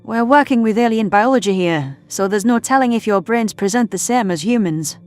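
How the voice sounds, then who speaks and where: A woman playing a patient human scientist in an audio drama, explaining things in a measured, firm way. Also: a little high, lively intonation, natural voice. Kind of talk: audio drama character acting. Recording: music under the voice.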